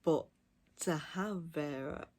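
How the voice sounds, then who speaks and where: A woman's voice: deep voice, slow pace, darkish tone. A woman giving a casual, chatty book review with gushing, emphatic enthusiasm. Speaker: audiobook reviewer.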